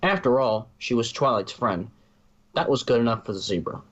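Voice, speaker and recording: A man's voice: mid-range voice, animated, moderate pace, a bit bright, lo-fi sound. A man narrating a fan fiction story as a reader.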